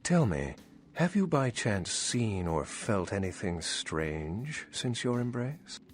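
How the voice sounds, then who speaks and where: Urbane, wry vampire scholar, animated cadence. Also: male voice, on the low side, slow. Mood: wry curiosity. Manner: wry, erudite, condescending. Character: urbane, world-weary old male vampire scholar. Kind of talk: video game character acting. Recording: music behind the voice.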